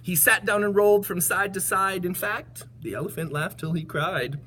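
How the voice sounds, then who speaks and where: A woman reading a children's picture book aloud with rhythmic, expressive narration. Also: fairly low pitch, moderate pace, a little hiss or hum. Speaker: storybook reader.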